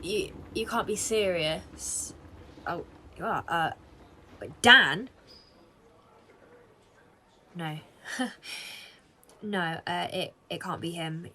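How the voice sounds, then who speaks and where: A woman playing an earnest female police detective in a naturalistic audio drama, speaking haltingly. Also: fairly low pitch, bright, forceful tone, full character voice. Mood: shocked, disbelieving. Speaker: actor in an audio play.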